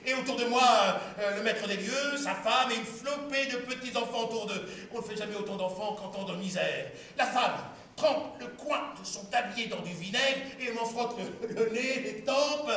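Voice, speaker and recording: A man acting a servant who tells his tale in a lively, chuckling way, heard from far off in an echoey hall. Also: high voice, a little hiss or hum. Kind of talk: stage play acting.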